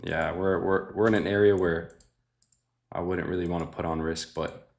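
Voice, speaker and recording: A young man with a low, deep voice runs a live trading stream. He talks in a loose, laid-back way to his chat and thinks out loud at his desk mic, often trailing off into a soft mutter. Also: fairly even intonation, moderate pace, creaky. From Mexican family. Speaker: livestream host trading futures. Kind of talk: casual live trading commentary.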